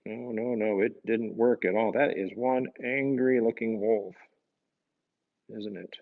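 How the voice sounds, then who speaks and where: Gravelly-voiced man reading a storybook aloud. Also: lowish voice, fairly even intonation, measured pace, narrow, tinny audio. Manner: gentle, explanatory, asks the listeners tag questions. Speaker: priest reading a picture book to children. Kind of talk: storybook read-aloud with conversational asides.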